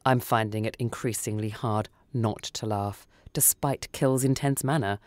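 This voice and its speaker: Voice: mid-range voice, unhurried, darkish tone, dry recording. A narrator reading a first-person short story dramatically for radio. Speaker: story reader.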